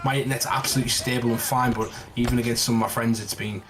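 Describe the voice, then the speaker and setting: Quick-talking guy co-casting a game fight, lots of oh and wow. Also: mid-range voice, rough recording. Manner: fast, animated exclamations. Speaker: esports co-commentator. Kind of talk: live game commentary.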